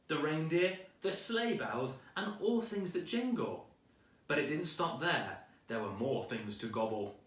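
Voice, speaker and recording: A man's voice: medium pitch, fairly bright tone. A man reading a children's storybook aloud with dramatic, rhythmic delivery, recorded at a distance in an echoey room.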